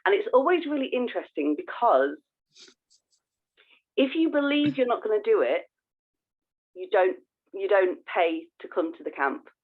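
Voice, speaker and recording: A woman's voice: medium pitch, big pitch swings, normal speed, pauses often. A woman co-hosting a podcast in casual conversation, explaining things informally and often restarting her sentences. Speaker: podcast co-host. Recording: narrow phone-like sound.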